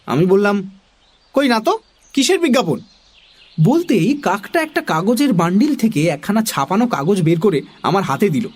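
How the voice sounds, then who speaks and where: Casting: male actor as wide-eyed young narrator for a children's story, high-set voice, bouncy intonation. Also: very animated, moderate pace. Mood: animated.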